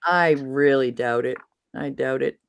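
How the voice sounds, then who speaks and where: A woman's voice: extremely low pitch, measured pace, no echo. A woman from Massachusetts chatting casually as host, rambling and losing her train of thought. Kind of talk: casual chat.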